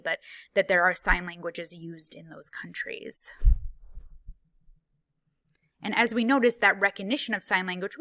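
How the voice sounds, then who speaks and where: A woman voicing a signed conference presentation into speech, in a measured, formal way, phrase by phrase with small pauses and fillers. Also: on the low side, gravelly, bright, forceful tone, no room sound. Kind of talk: live spoken interpretation of a presentation.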